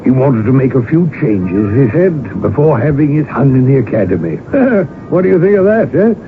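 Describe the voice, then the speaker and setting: An actor playing a boastful, blustering colonel and husband, pompous and excitable, in old-time radio drama style. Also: male voice, medium pitch, moderate pace, music in the background, close to an everyday voice. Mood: smug. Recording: noisy, narrow-band old radio broadcast recording.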